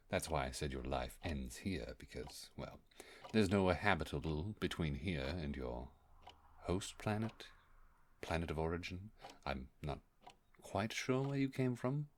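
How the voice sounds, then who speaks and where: Casting: male actor for an ancient furry monster scholar, extremely low voice, pompous wordy speech that fumbles, audio roleplay, faint background noise. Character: ancient scholarly furry creature, bookish, awkward, eager to impress. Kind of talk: immersive roleplay audio monologue.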